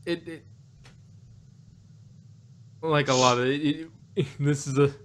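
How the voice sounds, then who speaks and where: A man's voice: fairly high pitch, steady tone, slow pace, a bit bright. A man co-hosting a casual review chat about an audio drama, rambling with many restarts and joking quoted asides.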